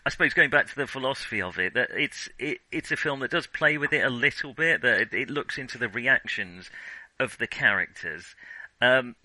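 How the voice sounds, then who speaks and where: A man's voice: medium pitch, animated, brisk, pushed and bright, no room sound, poor audio. A man co-hosting a podcast, talking casually about films in a hesitant, digressive way as he thinks aloud. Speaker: podcast co-host. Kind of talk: casual film discussion.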